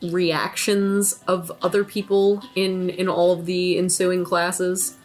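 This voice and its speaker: A woman's voice: fairly low pitch, level tone, takes it slowly, faint music behind. A woman talking casually as a player in a tabletop game.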